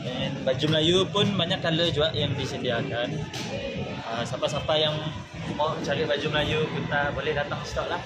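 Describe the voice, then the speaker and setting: A man's voice: on the high side, fairly even intonation, moderate pace, rough recording. A young man, a stall seller, explaining his clothing range hesitantly, with many fillers and English words mixed in. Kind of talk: informal product pitch. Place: outdoor market clothing booth. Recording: camera mic with loud music behind.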